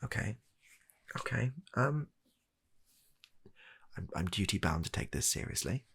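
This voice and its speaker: A British man playing a weary, reluctant, baffled doctor in a comedy ASMR roleplay, talking softly in a halting, deadpan, exasperated way. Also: lowish voice, gravelly, husky, heavily acted. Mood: baffled, resigned. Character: weary, reluctant, baffled male doctor humouring an absurd patient.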